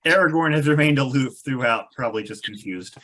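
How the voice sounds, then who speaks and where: A man's voice: medium pitch, lively intonation, fairly quick, a bit bright. A man reading stage directions aloud as narrator of a scripted table read.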